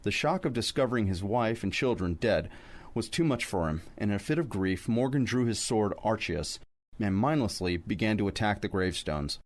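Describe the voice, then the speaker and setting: Male podcast narrator, lowish voice, articulate scripted storytelling with a wry streak. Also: expressive, normal speed. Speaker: podcast host.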